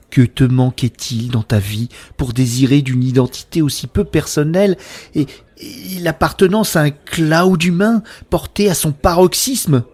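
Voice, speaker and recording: A man playing a passionate sceptic in a scripted science-fiction audio drama, speaking in a serious, deliberate, reproachful way. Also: mid-range voice, a little mellow, husky, natural voice. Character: Abel, sceptical passionate man guarding privacy and real feeling. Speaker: voice actor.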